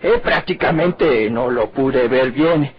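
A man playing a deferential, halting, obliging character in an old Mexican radio drama. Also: mid-range voice, husky. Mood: nervous, respectful. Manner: halting, courteous. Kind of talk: golden-age Mexican radio drama acting. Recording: dull vintage radio recording.

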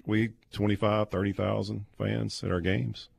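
A man, telling stories casually and haltingly as a guest on sports radio. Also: fairly low pitch, flat delivery, vocal fry, a little mellow, husky. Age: 50s. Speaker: guest, former college quarterback. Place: small padded radio studio. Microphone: close studio microphone with headset.

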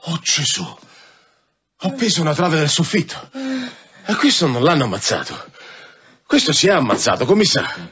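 A man's voice: fairly high pitch, normal speed, pauses often, a little hiss or hum. An actor in a radio drama playing a chatty, jovial, deferential policeman with a colloquial way of talking. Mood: matter-of-fact. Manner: animated, colloquial, repetitive. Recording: dull radio drama recording.

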